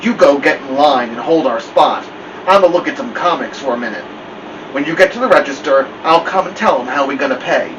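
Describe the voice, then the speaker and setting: A man's voice: fairly high pitch, brisk, close to an everyday voice. A man voicing a cocky, indignant teenage big brother in a storybook read-aloud, slangy, whiny and bossy. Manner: whiny, slangy protesting and bossing. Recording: muffled lo-fi, distant mic, loud hiss.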